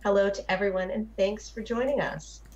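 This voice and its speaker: A woman's voice: medium pitch, fairly even intonation, fairly quick, darkish tone, highs cut off. A woman hosting a live talk show podcast, opening the show and introducing the panel.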